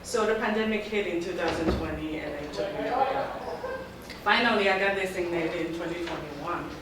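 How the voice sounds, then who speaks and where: A middle-aged woman from Taiwan giving an informal talk to a student group, speaking in halting phrases that often restart. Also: fairly low pitch. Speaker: guest speaker. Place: lecture room. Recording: very echoey room, mic far off, background noise.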